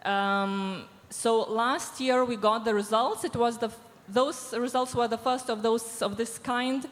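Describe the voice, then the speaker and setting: A woman, answering panel questions through a handheld mic, measured and pausing with many fillers. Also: fairly high pitch. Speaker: panelist from a diversity organization. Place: large event hall stage. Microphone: handheld microphone. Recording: reverberant hall sound.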